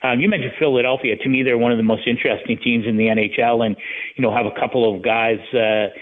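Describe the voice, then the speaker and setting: A man giving casual sports analysis as a guest over the phone, rambling and halting as he thinks. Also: mid-range voice, steady tone. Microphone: phone call. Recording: tinny narrow phone line.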